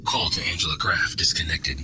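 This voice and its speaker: A man playing a polite, unflappable computer assistant in an audio drama, speaking in a formal, measured way. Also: deep voice, wildly swooping pitch, noisy background. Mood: calm, courteous. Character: polite, unflappable male AI assistant of an undersea base.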